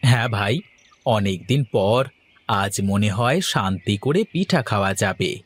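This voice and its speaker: A voice actor playing a wary, suspicious cartoon companion with a measured, doubtful manner. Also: low-pitched, expressive, slightly rough, exaggerated voice. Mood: calm. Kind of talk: Bengali cartoon story dialogue.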